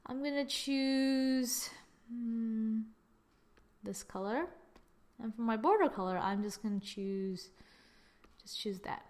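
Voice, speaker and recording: High-voiced woman chatting through a tutorial. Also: slow, long pauses, darkish tone. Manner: chatty, self-mocking, thinks aloud while clicking. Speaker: software tutorial presenter. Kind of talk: screen-recorded software tutorial.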